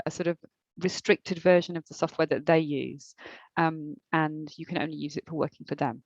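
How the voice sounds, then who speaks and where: A woman's voice: deep voice, dry recording, muffled recording. Woman with a slight accent, hesitant webinar trainer. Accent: slight non-native accent. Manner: hesitant, many ums and restarts, reads questions aloud. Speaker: subtitling trainer. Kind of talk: answering audience questions in a webinar.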